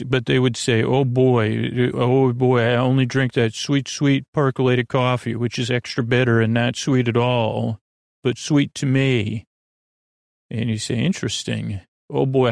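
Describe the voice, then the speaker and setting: A man hosting a bedtime podcast in a gravelly voice, meandering haltingly through repetitive, digressive thoughts that trail off mid-sentence. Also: mid-range voice, no room sound, clean studio sound. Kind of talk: rambling, meandering bedtime monologue.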